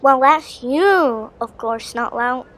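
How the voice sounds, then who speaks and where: A man's voice: extremely high pitch, lively intonation, slow, pushed and bright, voice pushed up, heavily acted. A man voicing a wry, gloomy young Viking boy in a storybook audio play, talking with dry sarcasm. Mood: mock flattering.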